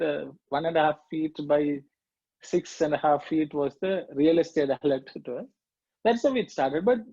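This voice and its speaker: A middle-aged man with a Sri Lankan accent, recounting his past in a reflective, halting way as a guest speaker. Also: on the high side, dark tone, slightly rough, no echo. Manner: reflective, halting with fillers and restarts. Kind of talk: personal testimony talk. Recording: muffled lo-fi dry audio.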